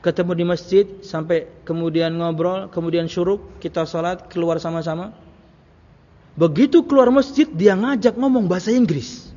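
A man's voice: high voice, moderate pace, mellow. A man giving an Islamic lecture to a congregation, conversational, repeating phrases and asking rhetorical questions. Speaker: Islamic preacher. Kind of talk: religious lecture to a congregation, with anecdotes. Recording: muffled, reverberant hall recording.

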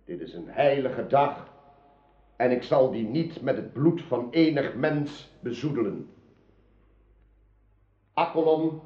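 An actor playing a magnanimous young king in a radio drama, speaking with solemn, formal dignity. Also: medium pitch. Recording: roomy, dull archived radio play.

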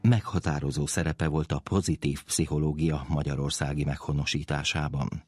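A man's voice: rumbling low, steady tone, a little mellow, slightly rough, no echo. A man reading a scripted introduction as the host of a science radio programme.

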